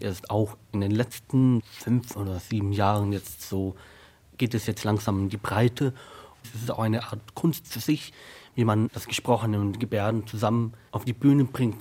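A deaf actor in his thirties whose first language is sign language, answering a radio interview slowly and carefully. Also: fairly low pitch, mellow. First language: German Sign Language.

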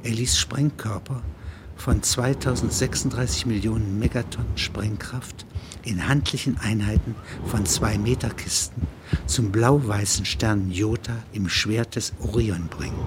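A man reading scripted literary narration in an audio drama, measured and matter-of-fact. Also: lowish voice, noisy background.

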